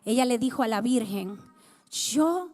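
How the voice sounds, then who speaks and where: A woman's voice: fairly high pitch, lively intonation, unhurried, a little mellow, soft background music. A woman in her thirties from the Dominican Republic, sharing her personal testimony as a guest speaker in a church, talking conversationally and putting questions to the congregation. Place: church sanctuary. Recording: long hall reverb.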